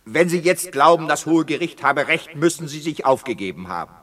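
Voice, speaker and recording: An actor playing an indignant, argumentative director in a 1960s German radio play, speaking in formal, emphatic phrases. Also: male voice, on the high side, sing-song, fairly quick, fairly bright tone. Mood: urgent, protesting.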